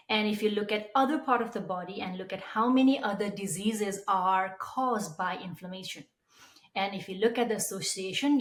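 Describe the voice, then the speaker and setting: A woman from Nepal with a Nepali accent, explaining as an expert guest in an interview. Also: mid-range voice. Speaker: periodontist guest. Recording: roomy, echoey sound.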